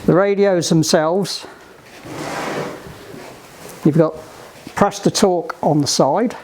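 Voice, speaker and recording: A man giving hands-on instruction to a group in a halting, deliberate, emphatic way, on an amateur recording. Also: a little high, darkish tone, a little hiss or hum. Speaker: sailing club instructor giving radio training.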